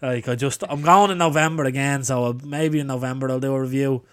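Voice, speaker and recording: A man in his twenties with an Irish accent, a bit hoarse, co-hosting a podcast and telling rambling, sweary stories right up close to the mic. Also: medium pitch, brisk, a loud crowd. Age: 20s. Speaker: podcast co-host. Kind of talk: casual chat.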